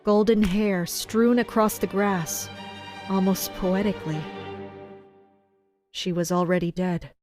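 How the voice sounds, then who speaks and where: A woman's voice: medium pitch, steady tone, slow pace, long pauses, lightly acted. A woman playing a tense, commanding castle fighter in a first-person dramatic narration. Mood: tense, watchful. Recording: music behind the voice. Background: music.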